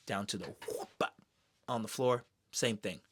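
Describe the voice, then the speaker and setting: A man giving casual, excited fan commentary in a slangy, expressive way, throwing in exclamations. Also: on the low side, unhurried, background noise. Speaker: streamer reviewing an animated series.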